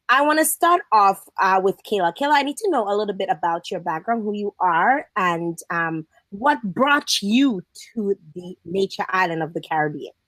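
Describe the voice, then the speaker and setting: A woman's voice: medium pitch, a bit bright, no echo. Woman host, chatty and teasing, full of fillers; close, dry sound. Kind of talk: casual interview, remote.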